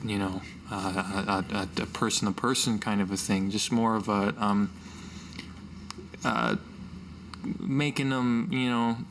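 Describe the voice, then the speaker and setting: A man playing a scrawny, stubborn boy rogue in a tabletop game, whiny and halting, hedging as he goes. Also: on the low side, steady tone, fairly bright tone, slight background noise. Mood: sheepish, halting.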